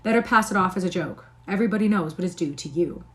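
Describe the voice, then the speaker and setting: A woman's voice: fairly low pitch, fast talker, lightly acted. A woman voicing an agreeable husband murmuring soothing replies to his wife. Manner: murmuring, placating.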